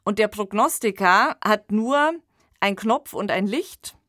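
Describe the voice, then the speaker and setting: Female host, medium pitch, conversational analytical delivery, close dry studio recording. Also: unhurried, a bit bright, dry recording. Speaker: podcast host. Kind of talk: conversational analysis of a radio play.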